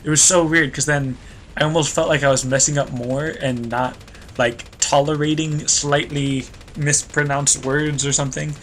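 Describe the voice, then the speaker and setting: Young male let's play host, bright tone, rambling stream-of-consciousness commentary, stuffy nose, faint keyboard typing behind. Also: fairly high pitch, flat delivery, moderate pace, slight background noise. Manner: rambling, loses train of thought, self-deprecating. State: stuffy nose, voice a bit worn.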